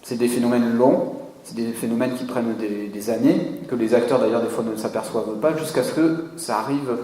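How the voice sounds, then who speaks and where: A man answering questions at a conference, often pausing to search for his words. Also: mid-range voice, mellow. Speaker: conference speaker. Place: conference hall. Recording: echoey hall with faint room noise.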